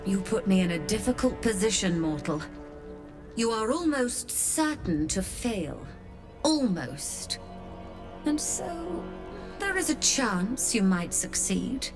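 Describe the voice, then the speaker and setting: A shrewd dealer who trades with the Hells in a fantasy game. Her delivery is slow, theatrical and imperious, with lively rises and falls, over faint music. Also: mid-range voice. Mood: shrewd, haughty. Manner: measured, theatrical, haughty. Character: shrewd, imperious infernal merchant woman, latchkeeper of Hells. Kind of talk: video game character acting.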